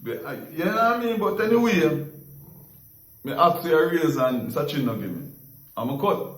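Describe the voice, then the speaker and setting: A man's voice: on the high side, animated, normal speed, echoey room, far from the mic, rough recording. A Jamaican man hosting a casual story-sharing chat, rambling and repeating words as he searches for them. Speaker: host.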